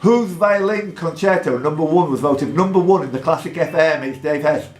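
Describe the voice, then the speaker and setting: A man's voice: fairly high pitch, normal speed. A man hosting a live pub quiz, reading questions formally and chatting jokily in between. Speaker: quizmaster. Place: indoors in a pub. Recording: echoey pub room, music behind.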